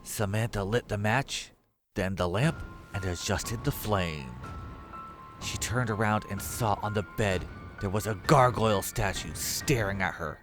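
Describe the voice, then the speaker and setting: A man's voice: fairly low pitch, measured pace. A man reading scripted horror story narration, with music behind his voice.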